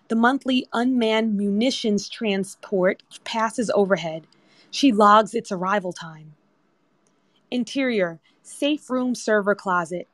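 A woman's voice: mid-range voice, a bit bright, no room sound. Dry-sounding woman narrating a screenplay. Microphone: remote audio chat app.

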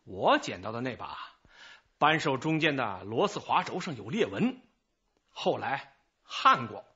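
An actor playing a chatty, evasive middle-aged informant in a radio drama, rambling, sighing and excusing himself. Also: male voice, medium pitch, big pitch swings, measured pace, sharp tone, husky, highs cut off, heavily acted. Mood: animated, confiding. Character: garrulous, evasive male small-time informant, self-excusing.

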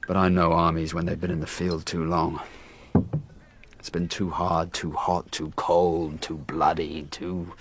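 A man's voice: deep voice, level tone, a little slow, background noise. An actor playing a weary, haunted warrior king, brooding and grave, in a historical audio drama. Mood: weary, grim. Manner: brooding, grave, theatrical delivery. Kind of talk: historical radio drama acting. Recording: music playing behind.

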